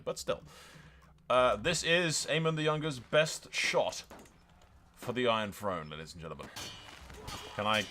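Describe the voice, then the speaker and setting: A man's voice: medium pitch, fairly bright tone, a little hiss or hum. A man giving casual, blokey game commentary, swearing freely and addressing his viewers. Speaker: gaming video host.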